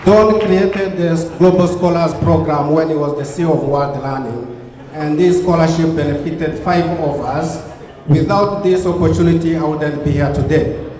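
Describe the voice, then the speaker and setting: A man's voice: fairly high pitch, moderate pace, a crowd in the background. Earnest Ugandan-accented man in an echoey hall. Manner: earnest, repetitive phrasing. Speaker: keynote speaker. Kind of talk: gala speech. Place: banquet hall. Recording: echoey hall with long reverb.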